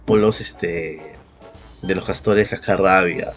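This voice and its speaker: A man with a Peruvian accent and a husky voice chatting casually as a podcast co-host, slangy and profane. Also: low-pitched, steady tone, takes it slowly, faint music behind. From Peru. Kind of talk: casual chat, reminiscing.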